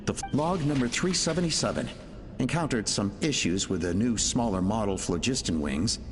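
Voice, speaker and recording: A man's voice: fairly low pitch, expressive, moderate pace, lightly acted. An actor playing an earnest, idealistic male inventor dictating research logs in a video game. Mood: businesslike. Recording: reverberant hall, music underneath.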